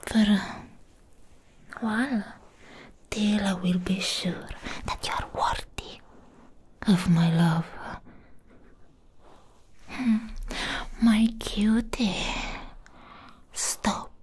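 Girl doing a obsessive vampire ex gf, asmr whisper, super slow n cooing, right up on the mic, faint noise. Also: medium pitch, a little mellow. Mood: possessive, pleading. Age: young adult. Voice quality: breathy whisper. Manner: slow, lingering, intimate, cooing. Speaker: ASMR roleplay performer. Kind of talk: soft-spoken whispered ASMR roleplay. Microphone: close mic, intimate.

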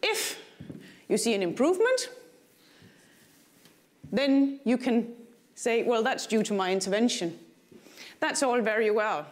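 A woman's voice: on the high side, animated, a little slow, long pauses. A middle-aged woman with a Danish accent, a native Danish speaker, gives a keynote lecture in a large conference hall on a lapel mic, with dry humor and questions for the audience. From Denmark. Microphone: lapel mic, walking the stage.

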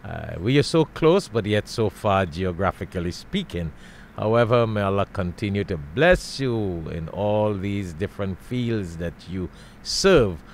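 A middle-aged man from Trinidad hosting an interview in a studio, speaking into a boom mic with frequent restarts and repetitions. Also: expressive, unhurried, slight background noise. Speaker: interview host. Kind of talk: casual interview. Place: studio with world map backdrop.

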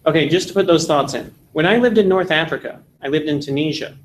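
A man from Texas in his forties, giving an animated classroom lecture and telling jokes in a seminary meeting room. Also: fairly high pitch, fast, a little hiss or hum. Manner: animated storytelling with jokes, gesturing. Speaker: seminar instructor.

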